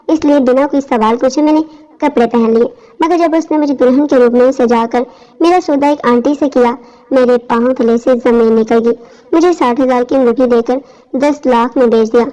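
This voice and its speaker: A woman's voice: a little high, mellow. A woman reading a scripted first-person story as its narrator. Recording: dull lo-fi audio.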